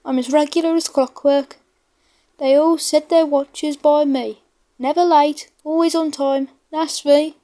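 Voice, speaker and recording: A woman's voice: high-pitched, steady tone, measured pace, mellow, voice pushed up. A woman voicing a conceited, grumpy male steam engine in a children's storybook, pompous and huffy. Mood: boastful. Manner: pompous, boastful.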